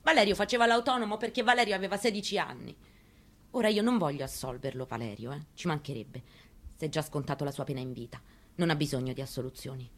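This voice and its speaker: A woman performing a dramatic monologue as a grieving, resolute elderly mother, in a deliberate, intimate manner. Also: low voice, a bit bright. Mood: subdued grief. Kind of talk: dramatic monologue, theatrical reading.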